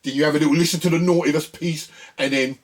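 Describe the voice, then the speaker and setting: A man's voice: on the high side, fairly even intonation, fast. A British man hosting a music channel, chatting casually and colloquially to viewers in a rambling way with frequent tag questions. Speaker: host of a music video channel. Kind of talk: casual chat to viewers about records.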